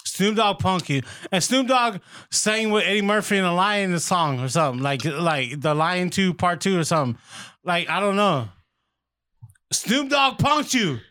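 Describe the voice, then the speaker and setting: Profane ranting man, slightly high voice. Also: lively intonation, measured pace, sharp tone. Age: adult, married. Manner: profane, ranting, stammers when worked up. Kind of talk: casual chat.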